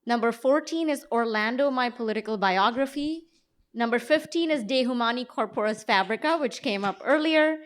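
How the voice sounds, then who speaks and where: High-voiced woman hosting a live panel. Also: moderate pace. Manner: speaks to an audience. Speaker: co-host and magazine editor. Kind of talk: live panel presentation, conversational. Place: live event on stage before an audience.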